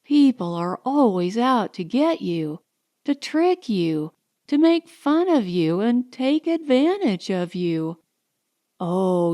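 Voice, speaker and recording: Woman playing a high-pitched, gushing, haughty young socialite. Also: dark tone, dry recording, studio quality. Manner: gushing, affected, condescending. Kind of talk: audiobook character dialogue.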